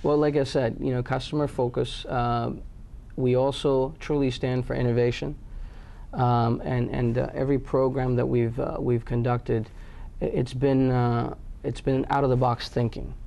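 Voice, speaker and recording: A man's voice: mid-range voice, level tone, moderate pace, vocal fry, warm tone. A man from Pakistan answering interview questions about his company, with many fillers and restarts. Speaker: guest, company head.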